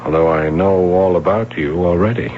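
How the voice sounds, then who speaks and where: An actor playing a shrewd, dry-humored police sergeant in a 1970s radio drama, calm and probing, with hesitant fillers. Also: male voice, low voice, normal speed, close to an everyday voice. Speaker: police detective. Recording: dull old radio broadcast, faint hum.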